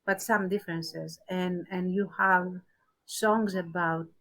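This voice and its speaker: A woman co-hosting a casual podcast discussion, talking thoughtfully with pauses and emphasis on key words. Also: on the low side, fairly even intonation, fairly bright tone, poor audio. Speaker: podcast co-host.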